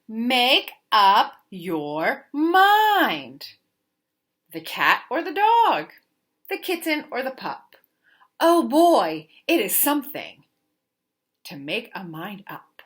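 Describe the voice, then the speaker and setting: A woman reading a children's picture book aloud like a teacher, with expressive, deliberate, sing-song emphasis. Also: fairly high pitch. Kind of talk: animated children's storybook read-aloud.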